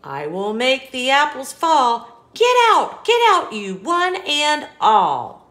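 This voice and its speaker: A woman voicing a cross, bossy bear in a picture book, giving loud, urgent orders. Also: high voice, sing-song, slow pace, heavily acted. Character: cross, bossy bear chasing animals with a mop.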